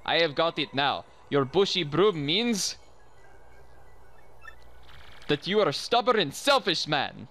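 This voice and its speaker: A man doing a pompous male bomb creature from a game, with a thick Russian accent, delivering slow, grand verdicts. His voice is bright and his pitch moves a lot. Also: sing-song, full character voice. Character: self-important male bomb creature reading mustaches.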